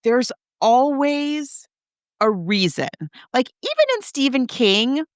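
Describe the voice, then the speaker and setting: A woman talks casually as a podcast host in a dry recording. Her pitch swings in a lively way, and she often stops and rephrases her thought as she discusses things. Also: no echo. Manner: animated, halting, rephrases as she goes. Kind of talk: casual film and book discussion.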